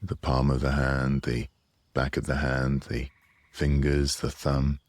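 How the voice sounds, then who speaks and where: A man leading a guided relaxation meditation in a soothing, unhurried way with long pauses, close on the microphone. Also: a bass voice, completely flat delivery.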